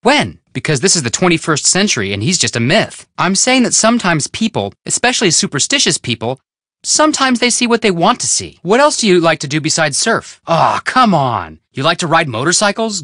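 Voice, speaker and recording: A man's voice: on the high side, bright, forceful tone, no room sound, studio quality, exaggerated voice. A man playing an upbeat, curious young American amateur detective in a video game, breezy, teasing and casual.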